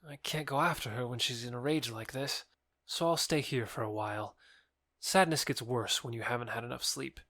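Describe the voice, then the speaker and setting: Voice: mid-range voice, moderate pace, a bit bright, dry recording, studio quality. An actor playing a lovesick, bitter young man rejected by his beloved, earnest and wounded, in an audio drama. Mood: weary. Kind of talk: theatrical audio drama acting.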